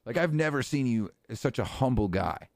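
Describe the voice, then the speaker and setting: A man in casual podcast banter, excitable and teasing, swearing a lot. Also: medium pitch, brisk, dry recording, clean studio sound.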